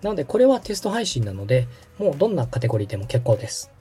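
Man, mellow tone, animated pitch, patient tutorial delivery, polite, background music. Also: very fast. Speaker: host and radio director guiding a setup. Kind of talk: conversational step-by-step tutorial.

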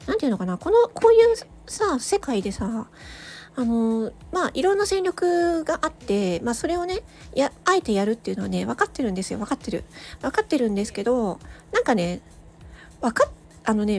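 A woman hosting a solo audio show, chatting casually and reflectively to listeners, thinking aloud with hesitant pauses. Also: a little high, darkish tone. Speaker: solo audio channel host, amateur voice actor.

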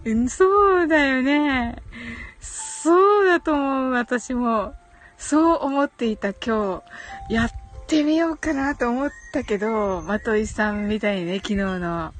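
A woman's voice: on the high side, measured pace, soft background music. A woman hosting a casual live audio chat, answering listener comments in a giggly way, often repeating short phrases of agreement.

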